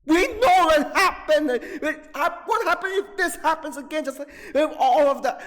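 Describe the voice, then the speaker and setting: A man delivering an angry, off-the-cuff gaming rant, agitated and profane, repeating himself haltingly with drawn-out emphasis. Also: extremely high pitch, fairly bright tone. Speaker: gaming video creator. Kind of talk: angry off-the-cuff rant about video games. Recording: long reverberant hall-like echo.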